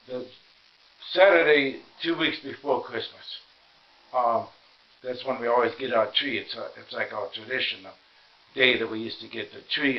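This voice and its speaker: A man with a mid-pitched voice narrates a casual home vlog. He sounds tired and talks in a halting, rambling way. The audio is dull and muffled, recorded inside a house as he walks around. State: tired and sore. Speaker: vlog host. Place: inside a house, walking through rooms.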